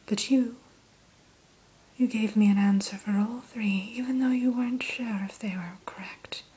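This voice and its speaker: A woman in an ASMR roleplay who whispers gently and courteously as the keeper of a dragon hatchery. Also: a little high, steady tone, normal speed, slightly rough, low-quality recording.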